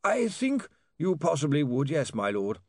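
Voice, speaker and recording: A man acts out a gloomy legal adviser in a storybook. The voice sits high and drags reluctantly through careful, deferential phrases, in a dry recording. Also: animated, creaky, no echo, heavily acted. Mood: resigned. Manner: reluctant, deferential, measured, drawn-out.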